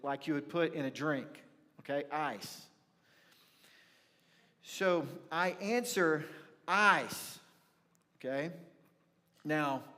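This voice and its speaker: Male pastor, Texan accent, folksy storyteller with emphatic pauses, somewhat high-pitched, long room reverb. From rural North Texas, USA. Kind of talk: sermon with personal storytelling. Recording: reverberant hall, church livestream.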